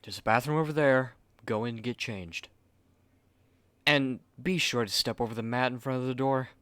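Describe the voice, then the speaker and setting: A man's voice: mid-range voice, normal speed, fairly bright tone, smooth, no echo, lightly acted. A man playing a haughty, fussy young manga artist in an ASMR roleplay, speaking curtly and articulately to the listener on a close mic.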